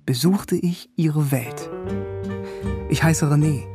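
A male actor playing a man who looks back on his school years as a radio drama narrator, reflective and measured. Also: on the high side, music in the background. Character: man named René recalling his youth as a schoolboy.

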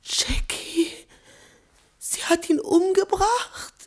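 A woman's voice: very high voice, drawn-out words, exaggerated voice. An actress playing a frightened, coquettish gangster's woman in a 1960s radio play, with theatrical, pleading delivery. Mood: horrified. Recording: noisy old radio drama recording.

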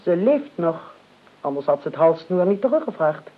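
An actress in a Dutch radio play, playing an imperious, blunt older aristocratic aunt who speaks formally and with dry restraint. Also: female voice, lowish voice, moderate pace. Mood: stern, businesslike. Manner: formal, crisp, deadpan. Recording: dull lo-fi radio recording.

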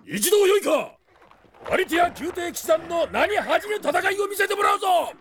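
Fired-up knight captain: extremely high shouted delivery, zealous, commanding, fantasy drama. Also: male voice, lively intonation, moderate pace, heavily acted. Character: fired-up male knight captain rallying troops. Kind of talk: Japanese fantasy audio drama acting.

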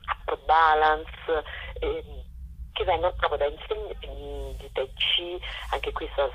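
A woman's voice: sits low, steady tone, slow, a bit bright, a little hiss or hum. A woman speaking as a festival director in an informal radio interview, hesitant and searching for words. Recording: dull lo-fi telephone line.

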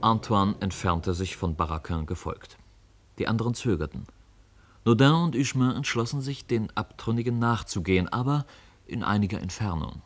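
A man with a low voice narrates a radio drama. He tells the story in a measured, literary way, as a scripted reading. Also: expressive.

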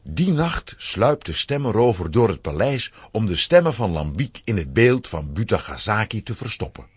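A male narrator telling a children's adventure tale with a Flemish accent. His lowish, husky voice moves with a wide, expressive range. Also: moderate pace, no echo. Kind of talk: scripted storytelling narration for a children's audio drama.

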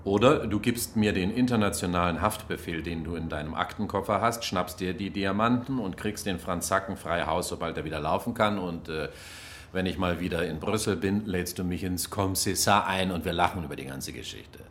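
Radio drama: evasive, ironic diplomat, fairly low voice, dry delivery, light background ambience. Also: male voice, a little fast, a bit bright, a little hiss or hum. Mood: wry bargaining. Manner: dry, ironic, smooth-talking. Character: wry, evasive male German diplomat, drily sarcastic. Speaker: actor in a radio crime drama. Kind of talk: naturalistic radio drama acting.

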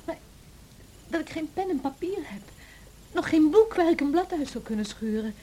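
A woman's voice: high voice, lively intonation, moderate pace, dark tone. An actress playing a bitter, lonely young woman, scornful and defiant, in a radio drama. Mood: bitter, wistful.

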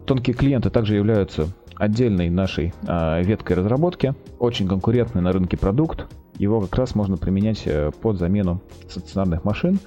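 A man's voice: lowish voice, animated, fairly quick, dark tone, dull audio, soft background music. A man speaking as a company representative, presenting and answering questions informally in businesslike, sales-like phrasing. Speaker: company representative, project manager, interview guest.

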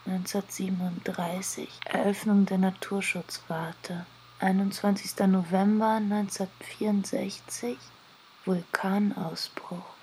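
A woman's voice: medium pitch, little pitch movement, measured pace, a little mellow, slight background noise. A woman reading clipped factual entries as narration in a radio drama.

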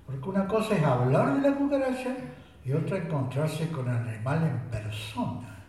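A man's voice: on the high side, normal speed. A man playing a boastful rustic sergeant. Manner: deadpan, rambling mock-solemn storytelling. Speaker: radio drama actor. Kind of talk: comic radio play acting. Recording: very echoey room, voice far from mic.

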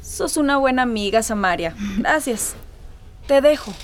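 An actress playing a worried, welcoming middle-aged mother in a radio drama, with a Costa Rican accent and a soft-spoken manner. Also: a little high, expressive, a little slow. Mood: kind, worried.

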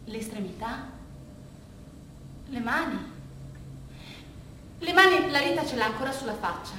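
A woman's voice: fairly high pitch, expressive. Female monologue actress, conversational bittersweet storyteller, recorded from a distance in a strongly reverberant room. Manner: halting, conversational storytelling with dropped, hushed asides. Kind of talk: bittersweet theatrical monologue in a colloquial first person. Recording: very roomy, distant stage recording, faint noise.

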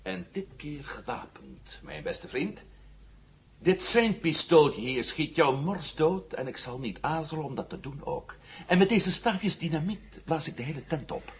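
An actor playing a defiant armed space hero, mocking and menacing with false friendliness, in a vintage science-fiction radio drama. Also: male voice, fairly high pitch, very animated, measured pace. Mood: sardonic, threatening. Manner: sardonic, menacing, mock-friendly. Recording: slightly noisy, dull old radio recording.

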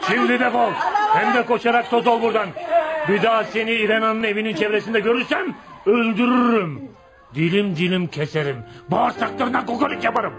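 A man's voice: high voice, brisk, over loud music, over a noisy crowd. A man acting a fierce, bossy, protective young man in a Turkish radio play, with an emphatic, theatrical delivery. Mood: menacing, mocking.

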